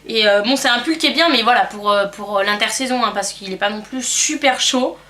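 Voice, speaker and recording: Bright-voiced young woman with a cold. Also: on the high side, brisk. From France. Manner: rambling, hesitant with many fillers. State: has a cold. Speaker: beauty vlogger. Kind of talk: casual chat answering a tag.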